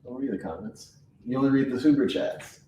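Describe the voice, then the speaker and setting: A man hosting a loose, casual reaction chat, blunt and teasing in how he talks. His voice sits at a middling pitch. He is recorded in a noticeably echoey room with a faint hiss underneath. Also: brisk, mellow. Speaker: podcast host.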